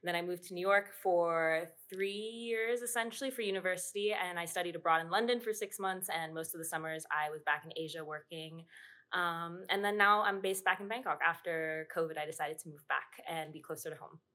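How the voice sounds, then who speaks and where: A young woman from Hong Kong, a musician answering interview questions in a thoughtful, steady way. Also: fairly low pitch, brisk. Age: young adult. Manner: thoughtful, steady, uses "I would say". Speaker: podcast guest, musician.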